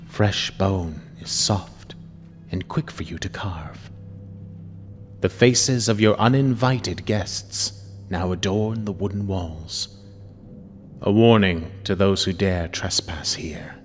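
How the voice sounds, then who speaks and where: A man giving a theatrical, menacing dramatic reading of horror narration, deliberate and at times dropping into whispers. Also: deep voice, a little hiss or hum. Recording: long reverb over music. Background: music.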